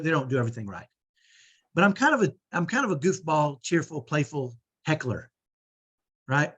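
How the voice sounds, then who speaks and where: Emphatic husky-voiced man coaching parents. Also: on the high side, dull audio. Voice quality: strong, powerful voice. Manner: energetic, emphatic, repeats "right?". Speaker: host.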